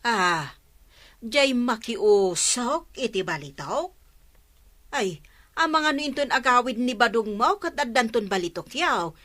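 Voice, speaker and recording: Bright, inquisitive village woman. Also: on the high side, sing-song, unhurried. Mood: curious. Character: inquisitive, skeptical village woman chatting with friend. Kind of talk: Ilocano radio drama acting.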